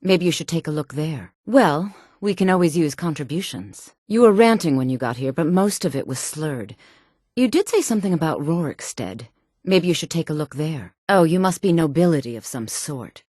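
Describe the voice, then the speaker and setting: An actress voicing a stern, sarcastic temple priestess in a fantasy video game, haughty and chiding in manner. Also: female voice, low-pitched, lively intonation, normal speed, a little mellow, dry recording, clean studio sound. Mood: scolding, sarcastic.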